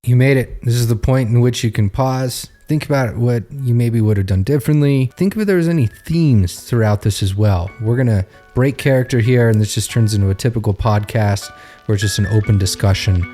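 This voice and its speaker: A man's voice: medium pitch, brisk, mellow, soft background music. A man hosting a podcast in a casual, conversational style. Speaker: podcast host.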